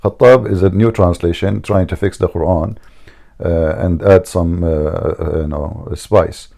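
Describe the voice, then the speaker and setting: Male host, low gravelly dark voice, argumentative debate style. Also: steady tone, unhurried. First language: Arabic. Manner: argumentative, heavy accented English with grammar slips; frequent throat clearing. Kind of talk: live call-in religious debate.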